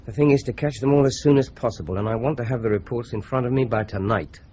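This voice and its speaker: An actor playing a shrewd senior police detective in a radio drama, talking briskly and with command as he reasons through a murder inquiry. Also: mid-range voice, mellow, highs cut off, slight background noise, close to an everyday voice.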